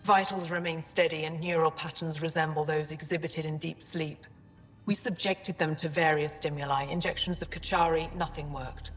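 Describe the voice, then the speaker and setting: An actress playing a reserved, dignified alien lead scientist in a video game, speaking formally and in measured phrases. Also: female voice, deep voice, steady tone, natural voice. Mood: grave. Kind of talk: cinematic video game acting. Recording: dull game audio, long reverb, faint music.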